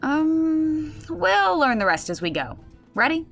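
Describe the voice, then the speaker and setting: A woman's voice: high-pitched, very animated, takes it slowly, vocal fry, a bit bright, faint music behind, heavily acted. A woman playing a wry game master who explains and narrates a comedic tabletop game in an audio drama. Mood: narrating.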